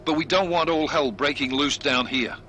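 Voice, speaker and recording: A man playing a brisk, professional squad leader in a video game, giving orders with authority. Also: on the high side, pushed and bright, slight background noise. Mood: urgent, commanding. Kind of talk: video game cutscene acting.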